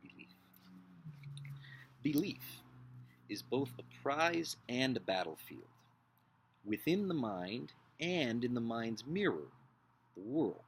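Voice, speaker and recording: A man playing an earnest, idealistic upper-class nineteenth-century gentleman in a dramatic book reading, solemn, deliberate and oratorical. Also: mid-range voice, big pitch swings, a little hiss or hum, rough recording. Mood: reflective.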